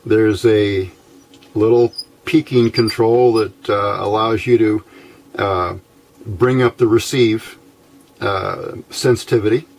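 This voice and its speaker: An older American man giving an informal technical explanation, unhurried and folksy, pausing often between short phrases. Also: lowish voice, steady tone. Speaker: hobbyist radio builder, presenter.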